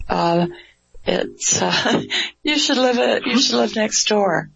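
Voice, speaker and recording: A woman hosting a radio interview show. She speaks slowly and warmly, with hesitant fillers and frequent laughter. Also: mid-range voice, lively intonation, vocal fry, slightly rough, no echo. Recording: dry, dull lo-fi radio broadcast.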